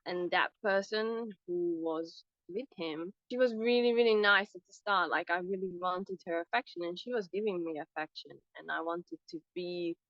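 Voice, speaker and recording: A woman from Hungary, speaking as an interview guest on a call, reflective and halting with restarts. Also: on the low side, a bit bright, no room sound, lo-fi sound. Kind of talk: personal conversation on a video call. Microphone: call microphone.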